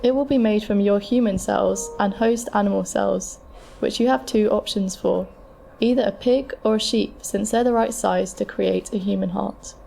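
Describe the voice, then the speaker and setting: A woman plays a calm medical scientist in an audio drama. Her voice is mellow and mid-pitched with plenty of vocal fry. She explains things in a measured, matter-of-fact way. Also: a little hiss or hum. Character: frank, calm futuristic transplant scientist advising a patient. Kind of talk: scripted explanatory dialogue in an amateur audio drama.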